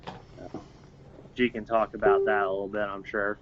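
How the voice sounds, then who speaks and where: Man, lowish voice, matter-of-fact group organizer; muffled lo-fi sound, faint hiss. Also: flat delivery, moderate pace. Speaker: gun-rights group organizer. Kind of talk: casual podcast chat.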